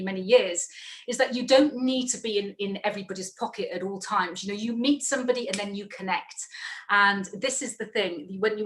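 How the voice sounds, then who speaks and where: A woman's voice: medium pitch, a bit bright. A woman hosting an online talk event, speaking off the cuff in an effusive, encouraging way. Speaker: international speaker. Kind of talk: unscripted live introduction of a speaker.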